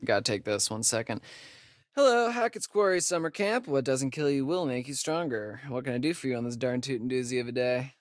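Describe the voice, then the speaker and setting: An actor playing a joking, sardonic young camp counselor in a video game, with an American accent and a laid-back, slangy way of talking. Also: male voice, mid-range voice, fairly quick, dry recording, studio quality, lightly acted. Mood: playful, wisecracking. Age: young adult. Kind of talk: naturalistic video game acting.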